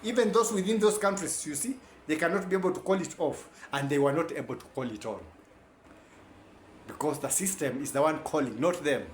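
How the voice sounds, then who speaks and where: A man's voice: fairly high pitch, fairly quick, roomy sound, slight background noise. A man giving a rambling, self-correcting informal lecture.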